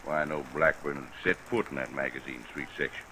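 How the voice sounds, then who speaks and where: An actor playing a folksy, plain-spoken police lieutenant in a 1950s radio drama. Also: male voice, rumbling low, poor audio. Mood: folksy, frank. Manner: folksy, unhurried. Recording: old radio recording, steady rain noise.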